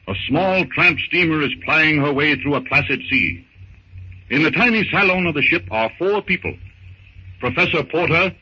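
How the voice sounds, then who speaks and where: Bright, theatrical male radio narrator. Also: a little high. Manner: theatrical, deliberate, florid. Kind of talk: dramatic scripted narration, 1930s radio. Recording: muffled, noisy old 1930s radio recording.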